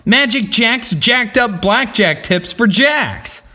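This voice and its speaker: Male performer as hot-headed comedy sketch loudmouth: bright, forceful, emphatic, slow-paced with big pitch swings. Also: lively intonation, soft background music, exaggerated voice. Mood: cocky, persuasive. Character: excitable, foul-mouthed, hot-headed man in comedy sketches. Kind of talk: comedy audio sketch acting.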